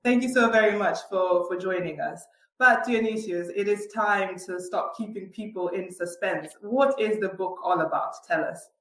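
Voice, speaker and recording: A woman's voice: medium pitch, moderate pace, roomy sound, rough recording. A woman from Botswana hosting a live online event and welcoming viewers in an upbeat way. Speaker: host, head of communications.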